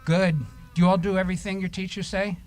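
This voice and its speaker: A man's voice: high voice, flat delivery, fast talker, faint music behind. A man leading a children's time at church, asking simple questions, repeating answers and reading short pieces aloud. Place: church service.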